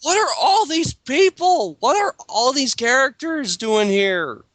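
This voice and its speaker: A man's voice: extremely high pitch, big pitch swings, a little slow, a bit bright, no echo. A man reading a text aloud as lead reader of an amateur dramatic reading, breaking into sarcastic, incredulous casual commentary.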